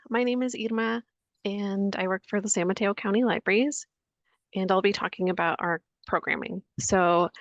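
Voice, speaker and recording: A woman on library staff presenting programming in a webinar with a clear, scripted delivery. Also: mid-range voice, dry recording.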